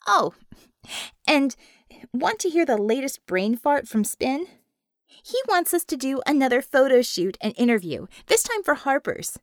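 A woman's voice: sits high, very animated, measured pace, no echo, clean studio sound, pitched above the performer's own voice, full character voice. A woman voicing a brash, impatient female team leader in an audiobook, speaking emphatically with wry sarcasm. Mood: exasperated. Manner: emphatic, exclaiming, sardonic.

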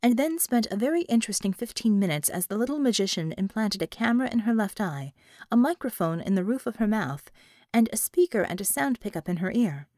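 A woman's voice: mid-range voice, animated, a little fast, dark tone, dry recording, studio quality. A woman reading a scripted fiction audiobook as its narrator, in a dramatic storytelling style.

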